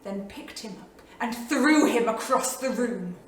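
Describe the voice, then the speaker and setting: A woman plays an intense, restless wife of the 1930s in a stage drama. She speaks boldly, with lyrical, deliberate phrasing. The voice carries from a distance through a very echoey theatre, over faint noise. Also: on the high side, lively intonation. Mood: bold, playful. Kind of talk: staged reading, dramatic monologue. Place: small theatre studio.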